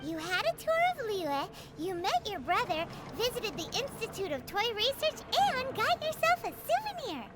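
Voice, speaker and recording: Tiny bossy game fairy, super high squeaky kid voice, swoops all over, music behind. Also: female voice, sing-song, moderate pace, hardly any pauses, very noisy. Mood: cheerful. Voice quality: squeaky, childlike. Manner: refers to herself in third person, pert. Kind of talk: video game voice acting.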